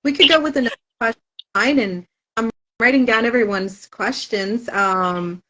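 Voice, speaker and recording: A woman's voice: fairly high pitch, moderate pace, sharp tone, rough recording. A woman author whose first language is Spanish, chatting thoughtfully in a casual interview with frequent "um" fillers.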